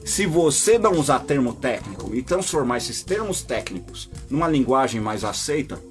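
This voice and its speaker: A Brazilian man hosting a podcast, delivering an opinionated monologue straight to his listeners and jumping into high mocking voices when he quotes people. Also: sing-song, moderate pace, faint music behind. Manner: addresses listeners directly as "my dear". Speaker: podcast host.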